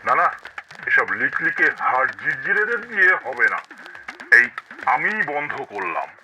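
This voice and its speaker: A man voicing a gullible, boastful tiger in a cartoon, pompous and easily flattered. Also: mid-range voice, moderate pace, background noise, lightly acted. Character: gullible, boastful tiger, self-styled king of the jungle. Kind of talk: cartoon voice acting. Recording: loud music behind the voice.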